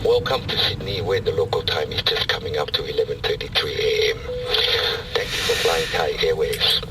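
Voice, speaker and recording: A man's voice: rumbling low, a little fast. A man playing an airline crew member making a routine, formal cabin announcement in an audio drama. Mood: routine, polite. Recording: distant cabin speaker under loud engine noise.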